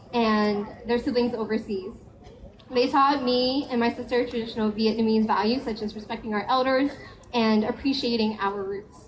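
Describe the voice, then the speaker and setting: A young American woman, a student association president, giving a prepared speech at a community event and reading briskly with small restarts. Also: a little high, low-quality recording. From United States. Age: young adult.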